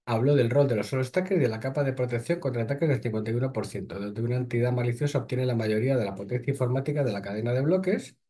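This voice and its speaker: A man's voice: medium pitch, very fast, few pauses, mellow, breathy edge. A man, hosting and reading crypto news aloud, then breaking off with short blunt remarks. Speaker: host.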